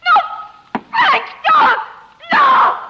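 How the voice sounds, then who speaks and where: An actress playing a terrified wife who pleads haltingly in 1940s radio drama style. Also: female voice, very high voice, sing-song, raised voice, heavily acted. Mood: terrified, screaming. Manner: breathless, halting pleading that breaks into screams. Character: terrified pleading wife, accused by her husband. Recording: muffled old radio recording, echoing.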